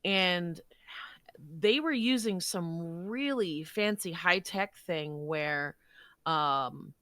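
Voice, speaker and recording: A woman with a low-pitched, bright voice talks casually about her work as a podcast guest. The sound is close and dry, with very little room in it. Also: very slow, dry recording. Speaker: guest voice actor.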